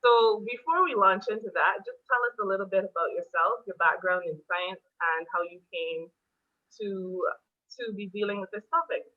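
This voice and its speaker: A woman's voice: medium pitch, moderate pace. A woman hosting an interview. She chats in a friendly, casual way and laughs easily. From Barbados. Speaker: host. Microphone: video call. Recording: tinny phone-like video call audio.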